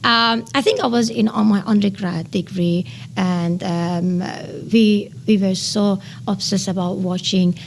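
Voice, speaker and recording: Persian-accented woman explaining with gravelly edge. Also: medium pitch, steady tone, a little hiss or hum. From Iran. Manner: enthusiastic explainer with frequent "uh" pauses. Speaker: nanotechnology researcher. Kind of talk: explanatory interview answers.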